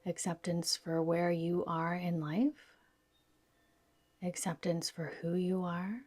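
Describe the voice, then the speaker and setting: A woman with a soft, whispery voice gently guiding a yoga nidra relaxation in a soothing, unhurried manner. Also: on the low side, steady tone, no echo. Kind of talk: guided relaxation meditation.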